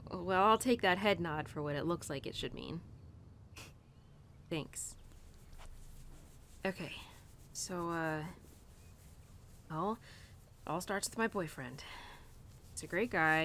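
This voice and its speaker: A woman acting as an earnest young cowgirl in an intimate audio roleplay, confiding in her horse. Her voice sits a little low for a woman. She rambles hesitantly, stumbling and restarting her sentences, over a rumbly, noisy background. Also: a bit bright. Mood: fond, hesitant.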